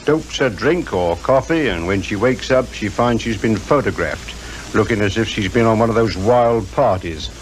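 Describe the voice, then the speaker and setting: An actor playing a blunt, knowing police inspector with a British accent in a 1950s radio drama, plain-spoken and clipped. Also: male voice, fairly low pitch, expressive, moderate pace, natural voice. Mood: knowing, explanatory. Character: blunt, worldly, confident male police inspector. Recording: noisy 1950s radio recording.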